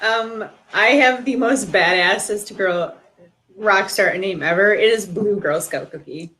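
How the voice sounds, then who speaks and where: A woman's voice: mid-range voice, unhurried, fairly bright tone, low-quality recording. A woman from the Midwest, a playful co-host adding joking asides. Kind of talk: casual live show chat.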